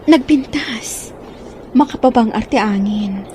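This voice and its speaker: An actress playing a shy, humble young maid in love in an Ilocano radio drama, with an Ilocano accent. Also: female voice, a little high, slow pace, dark tone, slight background noise. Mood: shy, curious. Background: background music.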